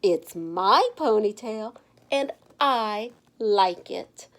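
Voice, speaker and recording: A woman's voice: mid-range voice, animated, very slow. Woman acting a stubborn, emphatic little schoolgirl. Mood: firm, proud. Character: stubborn, defiant little schoolgirl with strong opinions.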